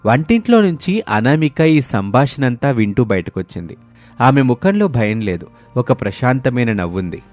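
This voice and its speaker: A man's voice: mid-range voice, big pitch swings, normal speed, darkish tone, faint music behind. A man narrating a scripted moral story in a storytelling manner.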